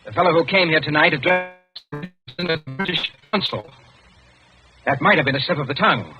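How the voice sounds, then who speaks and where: A man playing a shrewd, commanding colonial governor in old-time radio drama, speaking deliberately and sardonically. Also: a little high, bright, forceful tone. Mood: accusing. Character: shrewd, commanding British colonial governor, calmly exposing plotters. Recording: muffled vintage radio recording, music behind.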